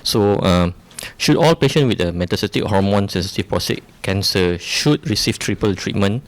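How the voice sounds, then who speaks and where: A man's voice: lowish voice, expressive, normal speed, no echo. A young man from Malaysia, a resident giving a debate opening statement, hesitant with many fillers and restarts.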